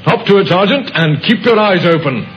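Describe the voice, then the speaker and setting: An actor playing a brisk, shrewd British police inspector in a radio drama, clipped and authoritative, on an old recording. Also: male voice, high-pitched, expressive, dull audio, over loud music. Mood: commanding. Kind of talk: 1950s radio drama acting.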